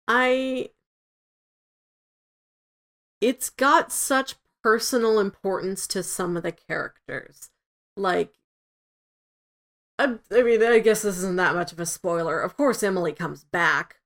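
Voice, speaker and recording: A woman's voice: mid-range voice, animated, unhurried, a bit bright. A woman co-hosting a casual review discussion, often acting out imagined quotes as she explains her thoughts. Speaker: podcast co-host reviewing an audio drama.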